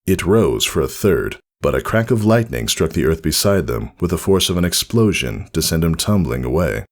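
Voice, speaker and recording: Male narrator for fantasy storybook: low, gravelly, highly expressive intonation. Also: normal speed. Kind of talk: scripted storybook narration, dramatic audiobook reading.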